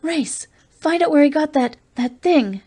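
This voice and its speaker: A woman reading an audiobook, voicing a frightened young woman who pleads through tears in a high, tremulous voice. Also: lively intonation, moderate pace, warm tone. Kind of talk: audiobook character voice.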